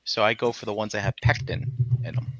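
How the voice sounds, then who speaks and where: Low bright-toned man, lo-fi sound. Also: fast talker, no echo. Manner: laid-back storyteller, swears casually. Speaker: podcast co-host. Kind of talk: casual chat. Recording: dull lo-fi sound.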